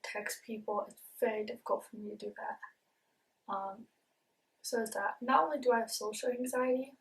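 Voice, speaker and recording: A woman's voice: on the high side, fairly even intonation, moderate pace, gravelly, distant mic, low-quality recording. A young woman vlogging casually to camera with a speech impediment that blurs some sounds, earnest and open, with many ums and repeated words. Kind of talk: casual confessional talk to camera.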